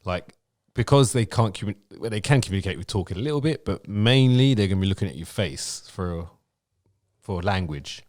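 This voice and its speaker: A middle-aged man chatting on a podcast, giving advice in a lively, teasing way. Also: sits low, a little fast. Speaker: podcast co-host. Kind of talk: casual chat, giving advice.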